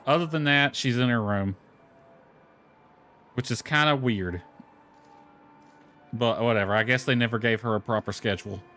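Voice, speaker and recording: Male gamer, mid-pitched, offhand and conversational, narrating a playthrough over background music. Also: moderate pace, long pauses, a bit bright. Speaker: gamer commenting on own playthrough. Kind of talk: casual game commentary, reading dialogue aloud. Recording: lo-fi music playing behind.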